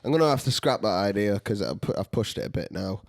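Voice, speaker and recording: A man's voice: fairly low pitch, lively intonation, quick pace, vocal fry. A man with a Manchester accent co-hosting a podcast, chatting casually, laughing often and swearing easily. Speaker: podcast co-host. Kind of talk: casual chat.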